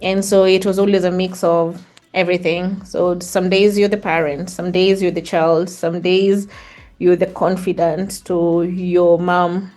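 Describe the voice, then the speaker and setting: A woman's voice: lowish voice, flat delivery, unhurried, darkish tone. A middle-aged woman with a Kenyan accent, reflecting on her life as an interview guest. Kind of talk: reflective personal storytelling in conversation.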